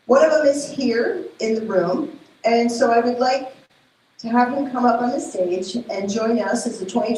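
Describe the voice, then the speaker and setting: A woman with a Spanish accent addresses a crowd in a big event space, her voice a touch high. She talks informally and haltingly, with fillers and quick self-corrections. The sound bounces heavily around the hall, with a faint noise floor beneath. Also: dark tone. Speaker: program director presenting awards. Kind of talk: live event announcements.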